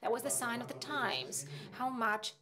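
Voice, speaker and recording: A woman's voice: on the high side, big pitch swings. Female live interpreter with crowd behind. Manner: measured phrases broken by short hesitations. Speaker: simultaneous interpreter. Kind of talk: live interpretation of a formal speech.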